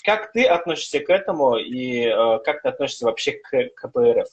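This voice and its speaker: A man's voice: medium pitch, animated, brisk, lo-fi sound. A man hosting a live-streamed interview, asking questions casually and hesitantly with many fillers. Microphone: phone on a live stream.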